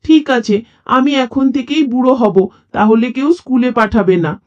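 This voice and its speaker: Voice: medium pitch, unhurried, a little mellow, highs cut off, voice pushed up, natural voice. A storyteller voicing a stubborn little child in a children's tale. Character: stubborn little child dodging food and school.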